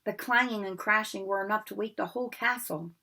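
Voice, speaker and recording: A woman reading a storybook aloud with expressive narration. Her voice is bright and forward, with a breathy edge. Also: medium pitch, brisk. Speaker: reader.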